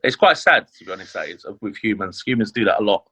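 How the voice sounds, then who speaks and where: A man's voice: on the low side, expressive, fast, a bit bright, husky. A man, chatting about his career as a chef in an interview, with many fillers and restarts. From born in Leeds, grew up in London. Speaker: chef, podcast guest. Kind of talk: casual interview chat.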